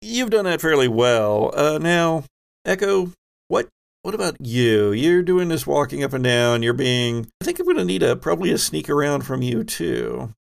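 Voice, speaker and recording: A man's voice: a little high, sing-song, moderate pace, creaky. A man hosting and running a tabletop roleplaying game, talking conversationally and guiding players with questions. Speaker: game master and podcast host.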